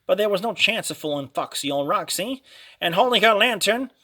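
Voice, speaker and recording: A man narrating a children's storybook read-along in a dramatic, expressive, animated way. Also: high voice, a little fast.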